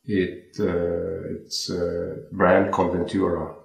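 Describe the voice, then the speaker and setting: A young man from Sweden talks about his watchmaking career in an easy, halting way, restarting and repeating words as he thinks. His voice sits very low. The sound bounces around a home room. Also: completely flat delivery, vocal fry. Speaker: watchmaker guest. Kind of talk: casual interview chat. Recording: roomy home interview.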